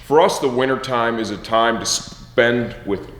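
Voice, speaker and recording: A man's voice: mid-range voice, a little slow, fairly bright tone, slight background noise. A middle-aged man, upbeat and joking, chatting casually with his son in a large garage workshop and asking him leading questions. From Michigan, USA. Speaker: host, team owner and father. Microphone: far camera, no mic seen. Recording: echoey, roomy garage sound.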